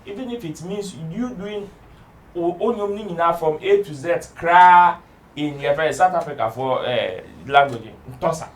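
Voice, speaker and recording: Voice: mid-range voice, moderate pace. Insistent voice, echoey and distant. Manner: mixes English with pidgin, insistent. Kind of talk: recorded interview clip. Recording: echoey room, away from the mic.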